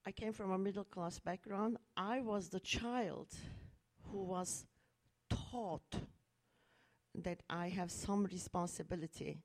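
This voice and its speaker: A woman's voice: mid-range voice, steady tone, slow, darkish tone. A middle-aged woman from Turkey telling a personal story in a public talk, earnest and reflective, with fillers and restarts. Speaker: speaker at a talk.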